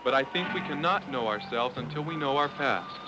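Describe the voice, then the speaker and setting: A man narrates a documentary in the first person, earnest and declamatory. His voice sits at an ordinary pitch for a man. Guitar music sounds clearly under him. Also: animated, fairly quick, poor audio.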